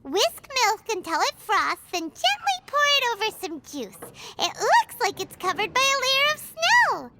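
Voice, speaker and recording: A woman voicing a tiny, excitable female fairy companion in a game cutscene, bubbly and fussy, talking about herself in the third person. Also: very high-pitched, unhurried, few pauses, heavy background noise, exaggerated voice. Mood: chatty. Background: background game music.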